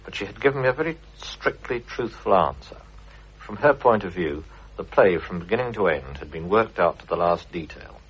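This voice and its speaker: A very low, rough-edged male voice with a British accent. He delivers a prepared radio reminiscence in an urbane, anecdotal manner, heard through a muffled old recording. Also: lively intonation, moderate pace, fairly bright tone. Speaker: guest contributor, theatre producer.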